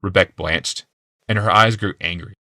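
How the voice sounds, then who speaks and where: A man's voice: deep voice, steady tone, normal speed, bright, forceful tone, no echo. A man reading a fantasy audiobook as a dramatic scripted narration.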